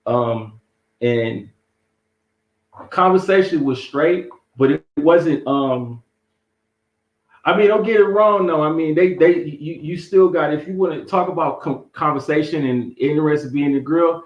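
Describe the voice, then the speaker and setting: A man's voice: on the high side, measured pace. A man with a Southern US accent, a guest telling travel stories in casual slangy talk and laughing often. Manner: laid-back storyteller, slangy, laughs often. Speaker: interview guest. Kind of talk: casual interview chat. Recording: echoey muffled call audio.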